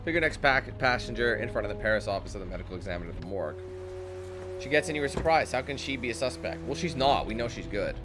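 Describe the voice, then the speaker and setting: A man doing casual let's-play commentary, thinking out loud, talking to his viewers and reading the game text aloud. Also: medium pitch, lively intonation, fast talker. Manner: chatty, thinks out loud, talks to viewers as squad. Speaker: gaming YouTuber. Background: game music.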